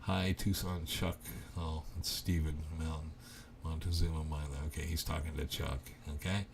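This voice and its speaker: A middle-aged man with a very deep, gravelly voice chats loosely on a livestream, rambling and cutting himself off mid-thought. A faint hiss of noise sits under his voice. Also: flat delivery, normal speed. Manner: rambling, chatty, self-interrupting. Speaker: livestream host. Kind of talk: casual livestream chat reading viewer comments.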